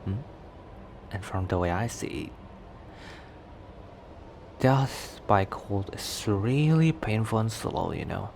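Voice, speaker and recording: Man acting as cocky male werewolf, ASMR roleplay, very slow pace, teasing and flirty, noisy ambient background. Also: lowish voice, lively intonation, a little mellow. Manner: teasing, chuckling between phrases.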